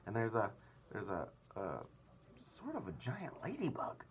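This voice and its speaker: A man's voice: mid-range voice, huge pitch swings, measured pace, slight background noise, pitched above the performer's own voice. A man voicing an agitated ship's captain in a storybook, blustering and stammering in disbelief. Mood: flabbergasted. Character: agitated, astonished male ship's captain peering through telescope.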